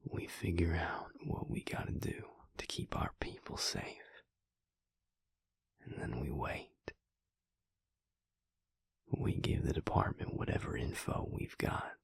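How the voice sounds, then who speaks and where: A man playing a protective, weary but tender vampire mate in an intimate audio roleplay, speaking in a hushed, breathy, gravelly voice with a deliberate, reassuring manner. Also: rumbling low, expressive, no room sound, whispered, full character voice. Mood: firm, resolute.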